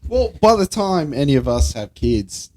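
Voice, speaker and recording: A man's voice: a little high, big pitch swings, normal speed, mellow. A young Australian man co-hosting a podcast, bantering casually and bluntly with plenty of swearing and slang. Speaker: podcast co-host.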